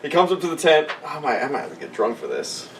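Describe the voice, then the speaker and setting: A man's voice: on the high side, wildly swooping pitch, fast talker, distant mic. A man taking part in a casual podcast, rambling in a goofy, profane way. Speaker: podcast participant. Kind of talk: casual group banter. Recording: off-mic, faint background noise.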